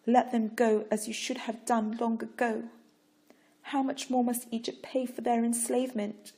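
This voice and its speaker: A woman's voice: a little high, little pitch movement, moderate pace, warm tone, pitched above the performer's own voice, lightly acted. A woman reading a story voices a bold, defiant Egyptian noblewoman who begs a king with urgent passion. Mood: impassioned pleading. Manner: urgent, impassioned pleading.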